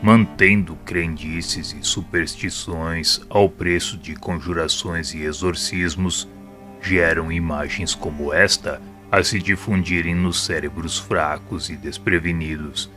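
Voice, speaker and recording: A man playing a wise, solemn spirit mentor who teaches in measured phrases in an audio drama. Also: sits low, steady tone, bright, forceful tone, full character voice. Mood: grave, instructive. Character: wise, solemn male spirit mentor explaining hell and mercy. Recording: music playing behind the voice.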